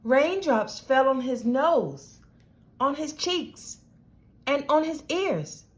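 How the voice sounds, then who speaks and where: A woman reading a picture book aloud to children as host, with deliberate, dramatic phrasing. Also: sits high, very animated.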